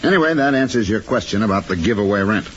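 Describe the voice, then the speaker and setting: A man's voice: on the low side, expressive, fast, fairly bright tone. A man playing a wary, blunt rental agent in old-time radio drama style. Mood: wary, matter-of-fact. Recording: dull, noisy old radio recording.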